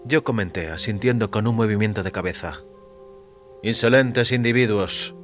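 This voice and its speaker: A man's voice: fairly low pitch, brisk. A man narrating a scripted short story for an audio fiction show. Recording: music under the voice. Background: music.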